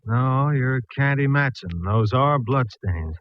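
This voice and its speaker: An actor playing an easygoing homicide inspector in a 1940s radio detective drama, dryly joking and familiar in manner. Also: male voice, mid-range voice, animated, takes it slowly. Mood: businesslike. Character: easygoing, dry male homicide police inspector. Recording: muffled, faintly hissy old radio recording.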